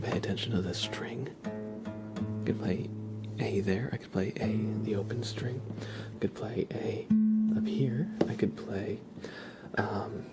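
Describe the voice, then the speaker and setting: A soft-spoken man chatting casually on an ASMR livestream, drifting in and out of a whisper. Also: fairly low pitch.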